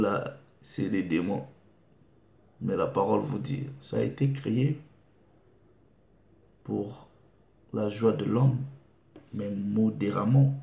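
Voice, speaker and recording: A man preaching, reading scripture slowly and deliberately and asking rhetorical questions. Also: fairly low pitch, gravelly, warm tone. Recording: echoey room.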